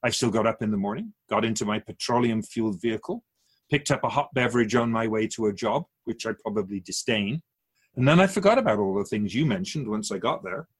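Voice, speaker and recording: A man playing an otherworldly, patient keeper of souls in a radio drama, asking measured, probing questions in a husky voice. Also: lowish voice. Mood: patient, pointed.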